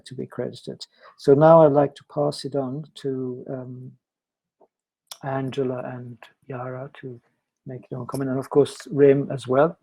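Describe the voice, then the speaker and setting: A man speaking semi-formally as a jury member, in a halting way with repeated phrases. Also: mid-range voice, creaky, warm tone, slightly rough, poor audio. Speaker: competition jury member presenting results. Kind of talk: semi-formal spoken remarks.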